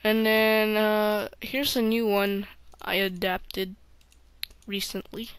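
A woman's voice: medium pitch, level tone, slow pace, clear, resonant tone, no echo. A woman hosting a game playthrough, rambling and often dropping to a mumble. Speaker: let's play host. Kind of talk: gameplay commentary.

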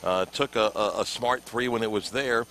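Middle-aged guy on a headset courtside, slightly low voice, reads stats, faint arena hum behind. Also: fairly bright tone. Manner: measured, reads stats then asks questions. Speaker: postgame sideline interviewer. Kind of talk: postgame broadcast interview. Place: courtside table in an indoor arena. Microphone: headset mic.

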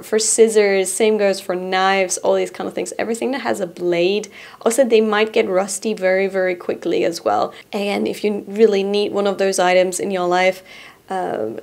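A young woman chats about cheap shop finds to camera from a small studio room, bubbly and quick to giggle. Her voice has a gravelly fry and sits at an ordinary middle pitch. She is close on a studio mic. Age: young adult. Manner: bubbly and giggly, drops to a soft relaxed tone. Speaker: host presenting shop items. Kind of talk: casual product review to camera. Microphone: boom-arm studio mic in front.

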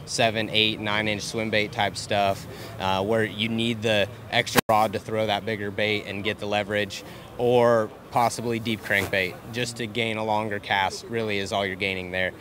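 A male professional angler answering interview questions outdoors in a measured, explanatory way. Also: fairly low pitch, level tone. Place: outdoors by boats. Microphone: handheld mic held by interviewer. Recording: faint outdoor noise.

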